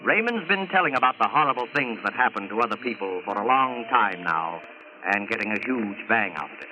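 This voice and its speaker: A man's voice: lowish voice, expressive, a little fast, rough recording. A man giving a scripted, wry, theatrical introduction as a 1940s radio announcer. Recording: old radio broadcast with music.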